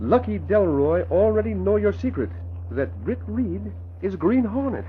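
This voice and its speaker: A man's voice: high-pitched, big pitch swings, measured pace. A man playing a loyal, anxious valet and driver in an old radio drama, speaking clipped broken English with dropped articles. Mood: worried, earnest. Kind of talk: 1940s radio acting. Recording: muffled, tinny old radio recording, faint hiss.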